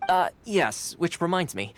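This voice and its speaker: An actor playing a cheerful, easygoing young male retainer in a video game, with an upbeat, expressive delivery. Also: a little high, unhurried. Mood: reassuring. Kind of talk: animated video game voice acting.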